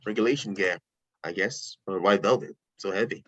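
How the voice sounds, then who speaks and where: A man's voice: low voice, moderate pace, no echo, lo-fi sound. A man reading the part of a snobbish, sneering young recruit in a table read, mocking the others. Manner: mocking, condescending.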